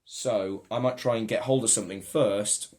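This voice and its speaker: Excitable man at a game table. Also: fairly low pitch, normal speed. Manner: excitable, reads card text aloud. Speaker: player reading cards. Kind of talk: casual board game chat.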